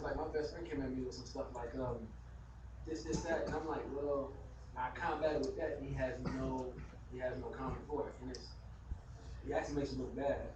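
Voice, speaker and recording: A man with a slightly higher voice chats loosely, pausing on fillers. He is heard from a distance in a strongly echoing hall. A steady hiss sits under it. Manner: casual and hesitant, many "um" and "like". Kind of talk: casual discussion. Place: mosque hall. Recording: very echoey hall from far off, hiss.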